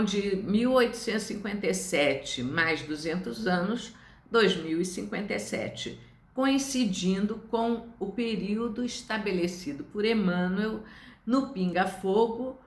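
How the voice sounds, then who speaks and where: Woman, 70s, Brazilian accent. Unhurried, didactic lecturer, medium pitch, roomy echo. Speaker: spiritist study lecturer. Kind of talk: informal religious lecture to online viewers. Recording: echoey room.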